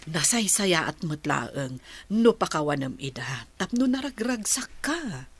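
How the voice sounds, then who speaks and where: An actress playing a gentle older adoptive mother in a radio drama, with a low husky voice and an Ilocano accent. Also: very animated, a little slow, full character voice. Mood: gentle, pleading.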